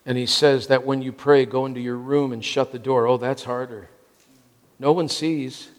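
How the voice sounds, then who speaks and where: A man's voice: medium pitch, steady tone, moderate pace. Emphatic American preacher in his sixties, echoing hall. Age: 60s. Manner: emphatic short phrases, repeating for emphasis. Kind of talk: sermon to a congregation. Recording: long reverb of a large hall.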